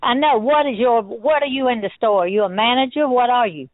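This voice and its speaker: A woman's voice: a little high, a little fast, no room sound. A plain-spoken, insistent woman making a customer complaint in a phone conversation. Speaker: customer calling about a refund. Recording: tinny, narrow telephone line.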